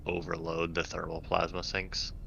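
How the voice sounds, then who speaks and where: A man's voice: sits low, completely flat delivery, moderate pace, gravelly, sharp tone. A man talking casually as a player at a tabletop game. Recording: dull lo-fi sound, faint noise.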